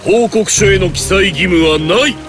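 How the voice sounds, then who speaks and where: A man's voice: sits high, measured pace. An actor voicing a proud, authoritative warrior king in a Japanese fighting game, declamatory and stern. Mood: barking. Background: background music.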